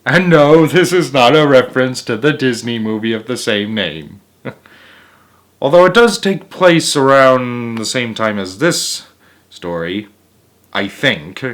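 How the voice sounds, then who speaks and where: A man's voice: mid-range voice, animated, slow, a bit bright. A man reading a children's picture book aloud, breaking off for casual chatty asides and sometimes stumbling and restarting words. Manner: chatty asides and small chuckles between passages.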